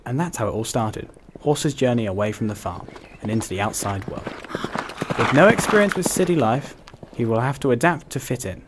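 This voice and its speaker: A man's voice: medium pitch, animated, a little fast, noisy background. A man reading scripted narration for an animal story.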